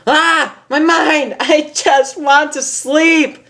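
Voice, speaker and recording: Frantic young pegasus mare, acted by a man: raised pitch, stammering, panicked exclamations, lovesick, for a pony fan story. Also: steady tone, very slow, sharp tone, full character voice. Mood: desperate.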